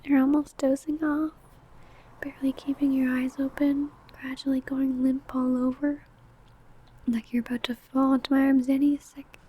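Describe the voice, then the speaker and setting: A woman's voice: sits high, flat delivery, normal speed, mellow, a little hiss or hum, close to an everyday voice. A woman playing an ancient, possessive, doting female vampire in a whispered, intimate ASMR roleplay, crooning breathily. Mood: tender, doting.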